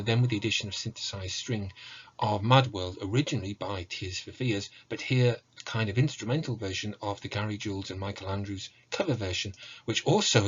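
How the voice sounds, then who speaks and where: A man giving an academic presentation as a lecturer, measured and scholarly in his delivery. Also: on the low side, breathy edge, lo-fi sound. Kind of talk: academic presentation, scripted narration.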